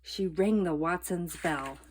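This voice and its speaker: Expressive woman reading a children's story. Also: fairly low pitch, slow pace, slight background noise. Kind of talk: children's book read-aloud, scripted narration.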